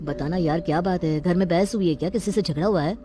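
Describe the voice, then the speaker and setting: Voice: medium pitch, fast, lightly acted. A performer voicing a casual male friend who talks in a chummy, probing way in a storybook drama. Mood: concerned.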